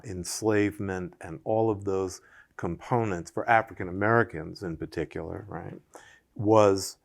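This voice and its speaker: A man's voice: on the low side, gravelly. A man speaking in a public conversation at a museum, thinking aloud in long winding sentences with many fillers and restarts.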